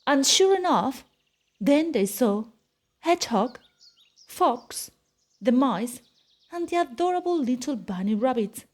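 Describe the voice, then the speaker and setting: A woman narrating a children's picture book aloud in an expressive, deliberate storytelling style. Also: high voice.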